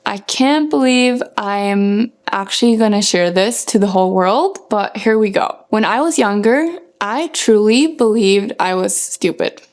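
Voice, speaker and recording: A woman's voice: mid-range voice, expressive, unhurried, few pauses. A young woman from Norway gives a scripted motivational talk to viewers as a running coach, earnest and emphatic in her phrasing. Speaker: running coach and content creator.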